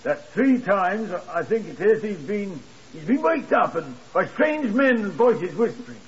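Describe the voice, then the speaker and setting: A man's voice: high-pitched, big pitch swings, measured pace. An actor playing an earnest, deferential working-class hotel night man in a 1950s radio crime drama, hesitant and eager to explain. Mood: hesitant, insistent. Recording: muffled 1950s radio broadcast.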